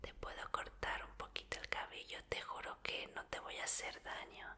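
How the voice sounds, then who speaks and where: A woman's voice: normal speed, bright, forceful tone, natural voice. A woman voicing an obsessive female fan who holds her idol captive, in a whispered roleplay, coaxing and apologetic. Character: obsessive female fan, sweetly creepy captor.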